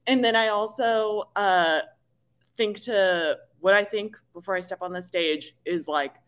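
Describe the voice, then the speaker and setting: A woman from Missouri with a deep voice, a guest chatting casually in an interview, a little nervous and laughing easily. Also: unhurried, smooth. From Missouri, USA. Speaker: guest, bikini athlete.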